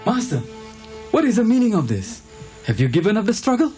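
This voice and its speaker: An actor playing a worried, earnest follower of an ascetic prince, grave and concerned, in an animated film. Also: male voice, sits high, a little fast, soft background music. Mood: dismayed.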